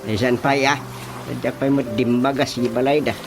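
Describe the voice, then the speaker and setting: Man as a jumpy, grumbling father over loud music. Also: mid-range voice, steady tone, normal speed, close to an everyday voice. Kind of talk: radio drama comedy acting.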